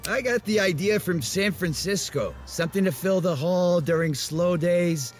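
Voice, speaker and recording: A man's voice: sits high, moderate pace, faint music behind, lightly acted. An actor playing a dry, grumbling older man, a former dance teacher, in a video game. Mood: wry. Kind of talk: video game character acting.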